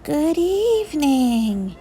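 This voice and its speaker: Woman as vain male storybook monster, high-pitched, silky, slow, prim and formal, well-groomed. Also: very animated, warm tone, soft background music, full character voice. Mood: courteous.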